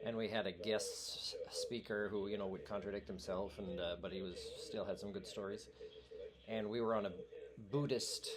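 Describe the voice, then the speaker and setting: A man's voice: fairly low pitch, steady tone, moderate pace, a crowd in the background. A man whose first language is French, talking in a rambling, earnest vlog monologue full of fillers and restarts. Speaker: vlogger. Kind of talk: rambling monologue addressed to a radio host.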